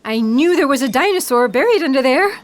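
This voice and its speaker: A nervous young female scientist with a high voice, played by an actress in a sci-fi audio drama. She doubts herself and speaks in a hesitant, self-conscious way. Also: expressive, brisk. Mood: nervous, eager. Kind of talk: sci-fi audio theatre acting.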